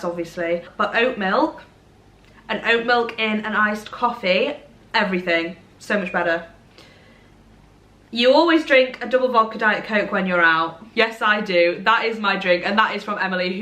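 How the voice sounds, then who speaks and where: A woman's voice: medium pitch, moderate pace, sharp tone, reverberant. Chatty young Englishwoman with a Midlands accent. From Derbyshire, England. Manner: chatty, rambling, self-deprecating. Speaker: vlogger. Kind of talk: casual chat to camera. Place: bright room at home, indoors.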